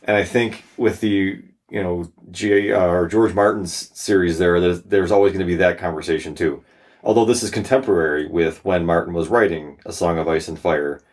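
A man with a very low, deep voice chatting loosely on a book podcast. He jokes around, laughs easily and drops the odd swear word. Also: fairly even intonation, normal speed, a little mellow. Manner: joking, laughs often, swears casually. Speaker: podcast co-host. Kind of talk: casual book club chat.

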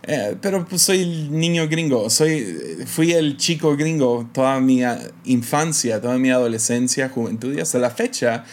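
A young man from Mexico who speaks with an American accent, talking alone on his podcast. His pitch sits in a middle range. His delivery is casual and reflective, full of hesitations, restarts and small laughs. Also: moderate pace, few pauses. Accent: American English. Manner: hesitant, many fillers and restarts, light laughs. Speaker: podcast host.

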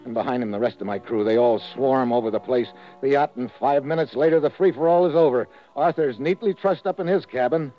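An actor playing a tough, wry American secret agent who tells his story in terse, deadpan 1950s radio drama style. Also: medium pitch, fairly quick, few pauses, natural voice. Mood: suspenseful narrating. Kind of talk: 1950s radio drama acting, first-person hard-boiled narration. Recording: muffled vintage radio recording with music.

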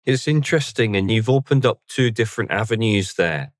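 Male podcast host, measured and thoughtful questioner, lowish voice, dry close recording. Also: animated, no room sound. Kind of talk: podcast interview.

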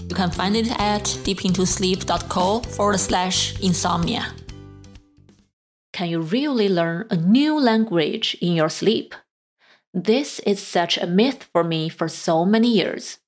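A woman hosting a podcast, chatty and enthusiastic as she asks questions. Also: on the low side. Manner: enthusiastic, chatty, quick laughs. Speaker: podcast host. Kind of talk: podcast interview.

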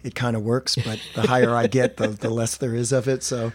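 A hoarse man in his fifties, a guest musician chatting casually and joking about himself in an interview aboard a cruise ship. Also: medium pitch, flat delivery, brisk. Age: 50s. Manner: joking, self-deprecating. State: hoarse, partly lost voice.

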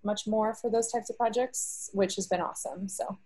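A woman's voice: medium pitch, steady tone, normal speed, dark tone, rough recording. A woman talking about her copywriting work in an upbeat, chatty way on a video call. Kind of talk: casual chat.

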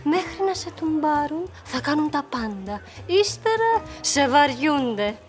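A worldly older wife in a radio play. Her voice is high and theatrical as she gripes and teases with sugary endearments, with faint music behind her. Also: very animated. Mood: teasing, complaining. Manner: theatrical, complaining, affectionate endearments. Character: older, worldly, teasing wife griping about men. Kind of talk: Greek radio drama acting.

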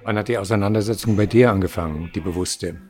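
A man hosting an interview podcast, narrating in a calm, measured way and asking questions. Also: fairly low pitch. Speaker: podcast host.

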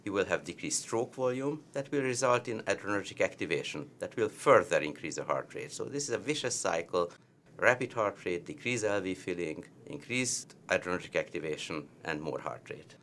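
Man, Hungarian accent, very low voice, emphatic cardiologist, faint background noise. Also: expressive, moderate pace, fairly bright tone. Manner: emphatic, repeats key points. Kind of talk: medical teaching discussion.

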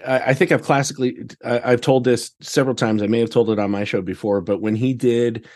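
A man chatting casually as a podcast guest, telling anecdotes in an animated way and acting out exchanges. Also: mid-range voice, a little fast. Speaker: podcast guest, theatre director.

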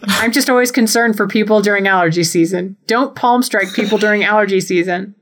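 A woman's voice: mid-range voice, a little fast. A woman co-hosting a casual comedy podcast, telling stories with dry exaggeration and some swearing. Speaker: podcast co-host. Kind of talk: casual comedic recap of a wrestling show.

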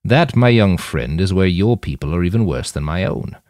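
A man voicing a weary, impassioned old man in an audiobook, speaking in a deliberate, oratorical, emphatic way. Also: low voice, animated, darkish tone, no room sound, clean studio sound. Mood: grave, reproachful.

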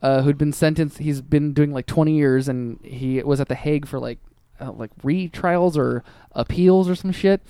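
A man co-hosting a casual podcast chat, telling news stories in an animated way and acting out what people said. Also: a little high, normal speed, smooth, dry recording. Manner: animated storyteller who acts out quotes. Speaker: podcast co-host.

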